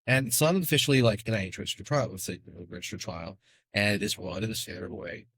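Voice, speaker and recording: A male podcast guest who talks very fast and keeps the explanations pouring out, tossing in quick asides as he goes. The recording is dry and close, with no room sound. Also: on the low side, lively intonation, a bit bright, slightly rough, no room sound. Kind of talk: casual podcast interview.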